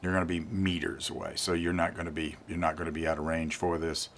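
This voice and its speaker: An older man giving an informal gear review as a channel host, speaking deliberately and repeating words for emphasis. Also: low-pitched, little pitch movement, fairly bright tone, a little hiss or hum. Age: 50s or older.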